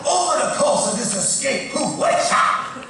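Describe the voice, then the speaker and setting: A man voicing a thundering, theatrical cartoon robot preacher with a drawn-out sermon delivery. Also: very high-pitched, lively intonation. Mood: fervent. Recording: echoey hall from far off, music.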